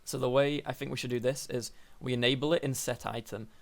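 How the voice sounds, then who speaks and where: A young man with a slightly croaky voice, hosting a casual coding tutorial and thinking aloud with fillers and self-corrections. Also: medium pitch, steady tone, fast. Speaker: programming tutorial host.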